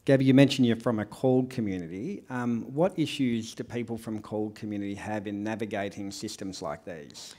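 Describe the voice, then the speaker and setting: A man's voice: lowish voice, brisk, hardly any pauses, warm tone. A man moderating a panel, asking supportive questions and bringing speakers in. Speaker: panel facilitator.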